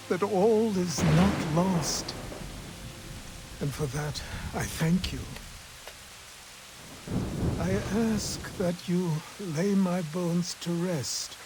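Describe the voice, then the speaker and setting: An actor playing a weary old mentor, solemn and grateful, speaking in a measured way as in a dramatic video game. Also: male voice, high-pitched. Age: older. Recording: rain and thunder noise behind.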